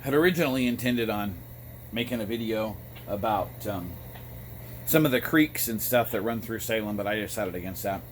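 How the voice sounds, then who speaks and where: An American man talking in a casual, rambling monologue, with a halting delivery that often pauses mid-thought. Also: fairly low pitch, expressive. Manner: halting, frequent throat clearing between phrases. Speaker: amateur radio vlogger. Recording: noticeable background noise.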